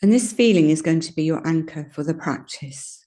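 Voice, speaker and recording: Female meditation guide, low-pitched and soft-edged, mellow tone, gentle soothing delivery with unhurried pauses. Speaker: yoga nidra meditation guide.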